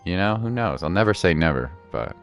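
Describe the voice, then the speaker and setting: Very deep-voiced, laid-back male streamer. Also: lively intonation, brisk, highs cut off, soft background music. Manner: laid-back, repeats himself, playful asides. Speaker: game live streamer. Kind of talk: casual livestream chat, commentary.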